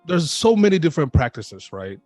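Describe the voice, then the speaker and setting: A man's voice: a little high, big pitch swings, fairly quick, darkish tone. Male podcast host, casual, friendly, chatty, faint music in the background. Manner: casual, friendly, calls guest "man". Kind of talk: casual interview chat.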